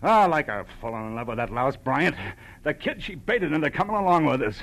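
An actor playing a slick, defensive, bitter crook who talks in stammering slang, in an old radio crime drama. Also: male voice, fairly high pitch, expressive, fairly quick, fairly bright tone. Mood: bitter, defiant.

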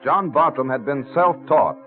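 A man's voice: fairly high pitch, expressive, moderate pace. A man giving scripted narration as the narrator of an old-time radio drama. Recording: tinny old radio recording. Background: music.

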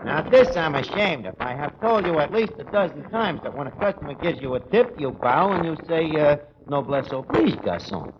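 Blustering New York tavern manager, animated. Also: male voice, fairly high pitch, normal speed, few pauses, lo-fi sound. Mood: pompous. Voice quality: streetwise, ungrammatical diction with malapropisms. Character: blustering, uneducated male tavern manager putting on airs. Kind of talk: 1940s radio comedy acting. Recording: noisy old radio broadcast recording.

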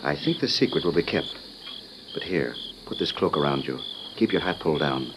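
An actor playing a loyal, guarded presidential secretary in an old-time radio drama. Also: male voice, rumbling low, animated, a little fast. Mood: impressed, hushed. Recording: noisy old radio recording.

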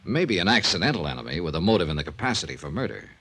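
A man playing a shrewd, persistent American insurance investigator, measured and probing, in the manner of 1950s radio drama. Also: low voice, very animated, fairly bright tone, full character voice. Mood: probing, polite. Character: shrewd, persistent insurance investigator who narrates his case. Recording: old radio recording with hiss.

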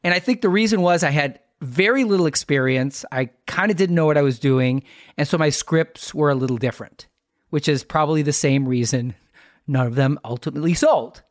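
Male American podcast host, middle-aged, wry and self-deprecating, higher voice with a dry, roomless sound. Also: brisk, dry recording. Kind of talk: conversational storytelling.

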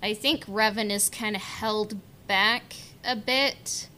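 Female podcast panelist, mid-range voice, informal and tentative, lots of hedging filler, some rumble in the recording. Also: fairly even intonation, takes it slowly, pushed and bright. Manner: hesitant, hedging, frequent "like" and "you know". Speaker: podcast panelist discussing a book. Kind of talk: casual chat.

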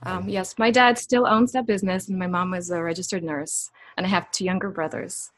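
A woman's voice: lowish voice, steady tone, fairly quick, no room sound. Ukrainian-accented woman guest, easygoing and chatty, dry close sound. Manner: friendly, chatty, laughs easily. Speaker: podcast guest. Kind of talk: casual interview chat.

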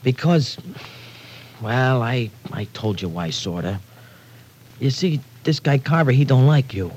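An actor playing a cocky, evasive young American punk in an old-time radio crime drama, talking in ungrammatical street slang. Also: male voice, mid-range voice, animated, measured pace, darkish tone, natural voice. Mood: anxious, evasive. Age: young adult. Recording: noisy vintage radio recording.

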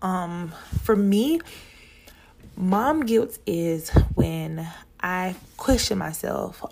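A woman's voice: lowish voice, gravelly, a little mellow. A woman of thirty hosting a podcast, talking in a chatty, rambling way straight to other moms. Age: 30s. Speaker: podcast host. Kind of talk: casual solo podcast talk.

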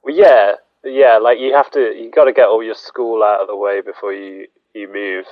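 A man's voice: lowish voice, fairly even intonation, normal speed, no echo. A man, chatting in a rambling, self-deprecating way full of fillers. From England, near Sheffield. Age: 30s. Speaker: podcast guest. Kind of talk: casual chat. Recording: dull lo-fi sound.

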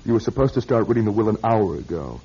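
Brusque, husky, haughty heir. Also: male voice, on the low side, very animated, fast, dark tone, heavily acted. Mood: impatient. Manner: brusque, curt, self-important. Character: impatient, haughty businessman, disgruntled male heir. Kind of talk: classic radio drama acting. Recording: dull lo-fi radio recording.

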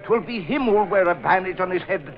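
Hot-headed, loyal Irishman: high voice, emphatic and folksy, vintage radio drama, dull recording. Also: brisk. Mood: indignant, blustering. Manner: blustery, emphatic, folksy turns of phrase. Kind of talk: 1940s radio acting.

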